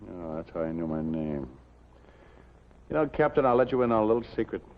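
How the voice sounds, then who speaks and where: A haunted, intense American newspaper columnist in 1950s TV drama. His low voice is emphatic and moves widely in pitch, on a dull old soundtrack with faint music. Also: big pitch swings, fairly quick. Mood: shaken, resolute. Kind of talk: 1950s television drama acting.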